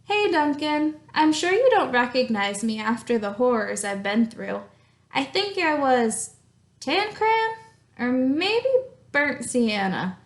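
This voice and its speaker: Bewildered, traumatised storybook crayon, played by a woman: high-pitched, melodramatic. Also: sing-song, slow, roomy sound, heavily acted. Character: traumatised, confused tan crayon, chewed and fuzzy.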